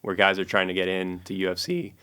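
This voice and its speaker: A man, a guest musician and producer, telling stories in a relaxed, casual interview chat with many fillers. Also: low-pitched, brisk, smooth.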